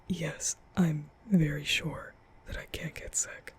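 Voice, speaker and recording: A man playing a nervous, gentle young vampire in an intimate ASMR roleplay, speaking hesitantly in a breathy half-whisper. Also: fairly high pitch, level tone. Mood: soft, reassuring. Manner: hesitant, stammering, slow.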